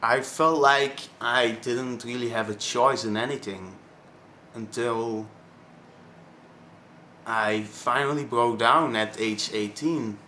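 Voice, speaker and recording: A man of twenty-one from Belgium with a Flemish accent, his first language Dutch, speaking haltingly with many pauses in a personal vlog. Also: medium pitch, sharp tone, a little hiss or hum. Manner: hesitant, many ums and pauses. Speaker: vlogger.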